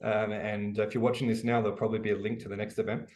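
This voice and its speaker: An Australian man with a lowish voice, talking quickly and with energy as a podcast guest. He tells stories in a loose way, full of fillers and restarts. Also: little pitch movement, darkish tone. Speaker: guest, e-commerce educator. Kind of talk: podcast interview.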